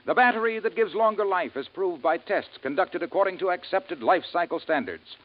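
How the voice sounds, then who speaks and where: Male ad announcer, highish voice, very animated, grandiloquent, punchy, vintage radio, muffled. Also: a little fast. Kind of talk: 1950s radio ad pitch.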